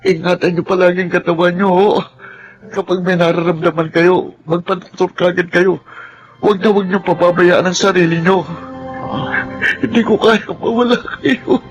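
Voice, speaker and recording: A male actor as a grateful young nephew in a radio drama. His voice sits slightly high and carries open, earnest feeling. Music plays clearly behind him. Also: steady tone, moderate pace, muffled recording, natural voice. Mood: tearful, earnest. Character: grateful, emotional young adult nephew, once an orphaned sufferer. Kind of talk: Filipino radio drama acting.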